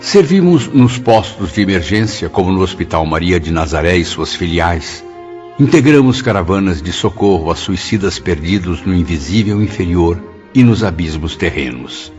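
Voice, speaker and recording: An actor with a Brazilian accent playing a dignified spirit who speaks in a solemn, literary radio drama style. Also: male voice, deep voice, expressive, normal speed, darkish tone. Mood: solemn recollection. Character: dignified male spirit recounting his afterlife service. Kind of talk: dramatised first-person narration. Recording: dull lo-fi track, music bed.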